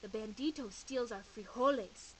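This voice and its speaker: A woman's voice: on the high side, normal speed, slight background noise, natural voice, poor audio. A woman voicing the smallest Chihuahua of a bandit gang, a cheeky male sidekick who talks in slang, in a storybook reading. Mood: complaining.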